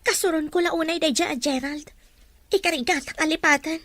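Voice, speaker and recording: Woman acting a very high-voiced heartbroken young Ilocano woman. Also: moderate pace, a bit bright, dry recording. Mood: hurt. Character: jilted young woman, hurt and bitter about love. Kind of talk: Ilocano radio drama acting. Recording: very noisy recording with hum.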